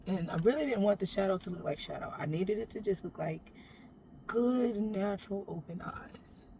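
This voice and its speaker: Woman, deep gravelly voice, sassy and playful, direct to camera, parked car. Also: expressive, normal speed, dark tone, slight background noise. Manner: sassy, playful, talks straight to the viewer and calls them baby. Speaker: makeup vlogger. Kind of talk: chatty makeup tutorial. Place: inside a parked SUV.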